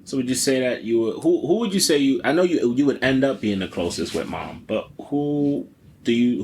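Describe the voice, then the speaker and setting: A man's voice: medium pitch, a little mellow, a little hiss or hum. A young man hosting a podcast, asking his grandmother questions in a reflective, hesitant way. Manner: reflective, hesitant, many restarts and backchannels. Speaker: podcast host. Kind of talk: casual interview with his grandmother.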